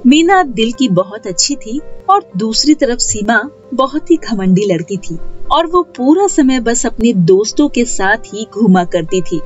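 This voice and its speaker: A woman's voice: a little high, lively intonation, unhurried, dull audio, faint music behind. A woman narrating a scripted moral story as a storyteller.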